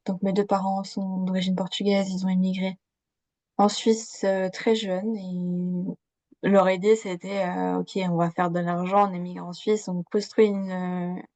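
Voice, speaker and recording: A woman's voice: on the low side, little pitch movement, unhurried, dark tone, no room sound. A young woman born in Switzerland, a coach guesting on a podcast, talking casually with lots of fillers. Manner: chatty, many fillers, laughs easily. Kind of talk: casual conversational interview.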